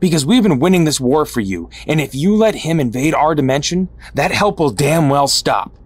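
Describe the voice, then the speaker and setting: A man's voice: a little high, lively intonation, moderate pace, no echo. A man playing a hardened, distrustful resistance fighter in an audio drama, confrontational and sarcastic. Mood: angry, distrustful.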